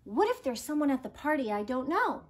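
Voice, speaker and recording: A woman's voice: sits high, sing-song, brisk. A woman voicing an anxious, doubtful young boy in a storybook, with insistent, worried questioning.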